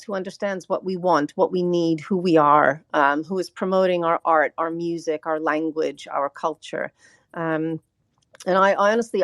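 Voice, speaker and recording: An American woman who grew up speaking Ukrainian, a podcast guest talking in an earnest, halting way with frequent restarts. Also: lowish voice, no room sound, studio quality. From United States. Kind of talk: reflective podcast talk.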